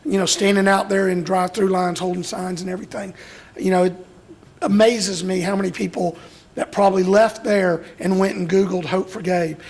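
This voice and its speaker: A middle-aged man from Alabama speaks with a hoarse, gravelly, raspy voice. He gives a heartfelt, emotional speech to an audience, pitched high for a man. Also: fairly even intonation, moderate pace, a little mellow. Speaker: speaker at a youth graduation, father of a boy with Duchenne.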